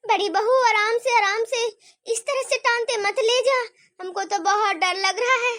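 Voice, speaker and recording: Lady doing a bossy worried mother-in-law, very high voice, dry close mic, snapping orders. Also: measured pace, fairly bright tone, dry recording. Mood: anxious. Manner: scolding, urgent commands. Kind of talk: homemade family drama skit.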